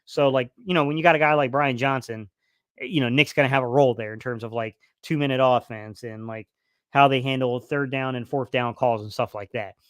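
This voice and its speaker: A man's voice: medium pitch, fairly even intonation, fairly quick, fairly bright tone, no room sound, clean studio sound. A man hosting a casual football live stream, reading the chat aloud and rambling with lots of fillers, his voice starting to wear out. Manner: rambling, many fillers and restarts. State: losing his voice a little. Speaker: sports livestream host.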